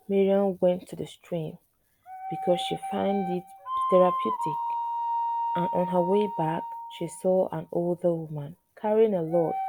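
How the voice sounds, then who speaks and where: A woman storyteller reading a scripted folktale in measured, separate phrases. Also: on the low side, rough recording. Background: flute music.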